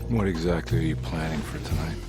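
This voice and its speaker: A low-voiced male private detective from a 1930s horror game. He is brooding and world-weary, with music running under his words. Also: fairly even intonation, quick pace. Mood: wary, curious. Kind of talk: video game cutscene acting with brooding monologue.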